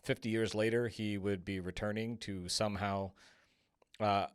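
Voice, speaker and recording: Clear, low-voiced male interviewer. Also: steady tone, normal speed, no room sound, studio quality. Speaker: podcast interviewer. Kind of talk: conversational interview questioning.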